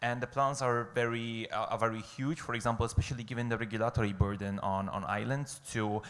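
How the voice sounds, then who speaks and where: A man's voice: mid-range voice, steady tone, brisk, fairly bright tone. A man from Poland reporting back to a conference as a group rapporteur, speaking off the cuff with many hesitations and restarts. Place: conference hall on an island.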